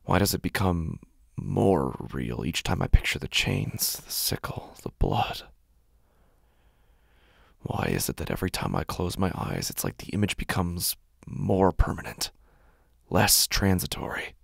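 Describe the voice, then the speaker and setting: An extremely low-voiced man tells a horror story in the first person. His delivery is brooding and intense, full of heavy fry and sliding dramatically in pitch. He sits close to the microphone. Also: big pitch swings, measured pace, dry recording. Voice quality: gravelly, husky, breathy at times. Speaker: horror podcast narrator.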